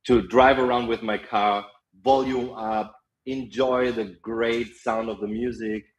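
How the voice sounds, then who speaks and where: A young man with a German accent, a podcast guest telling lively stories in casual chat, full of fillers. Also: medium pitch, a little slow, poor audio. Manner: animated storytelling, frequent fillers and laughs.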